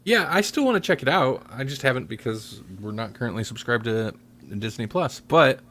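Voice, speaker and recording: A man hosting a casual podcast chat, often halting and thinking aloud as he talks. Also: mid-range voice, lively intonation, a bit bright, a little hiss or hum. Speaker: podcast host.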